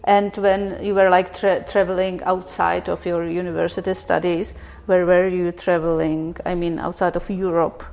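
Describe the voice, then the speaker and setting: Woman podcast host, slightly low voice. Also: level tone, moderate pace, vocal fry, hardly any pauses, darkish tone. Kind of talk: conversational interview.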